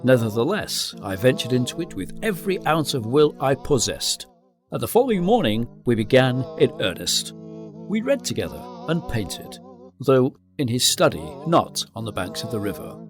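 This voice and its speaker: An actor playing a grave gentleman narrator of a gothic tale, reading in a formal, literary, dramatic style. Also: medium pitch, big pitch swings, normal speed, soft background music, natural voice. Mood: grave, resolute.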